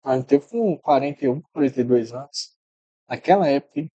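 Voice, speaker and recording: A man's voice: mid-range voice, lively intonation, fairly quick, mellow, no room sound, lo-fi sound. A middle-aged man, a podcast guest telling stories in casual chat, often restarting and repeating words. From Minas Gerais, Brazil.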